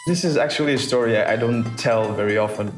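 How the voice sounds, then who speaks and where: A young man from the south of Portugal, a founder answering interview questions thoughtfully with many pauses and restarts. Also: medium pitch. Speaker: startup founder, guest. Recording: roomy sound with music bed.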